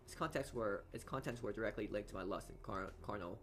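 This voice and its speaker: A man's voice: fairly low pitch, fast. A man reading visual novel narration aloud as a let's player, often stumbling over words. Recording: game music behind voice. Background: game music.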